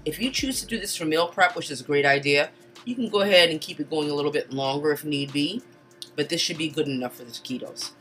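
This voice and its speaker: Low, casual woman narrator for a home cooking voice-over, soft music under her. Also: quick pace. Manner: relaxed, chatty instructions with casual asides. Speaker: cooking channel host. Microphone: home voice-over mic.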